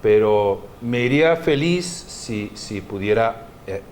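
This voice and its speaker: A man's voice: fairly low pitch, animated, darkish tone, slight background noise. A man from Brazil, a native Portuguese speaker with a Brazilian accent, speaking frank, careful Spanish as an interview guest. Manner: frank and outspoken, measured second-language Spanish.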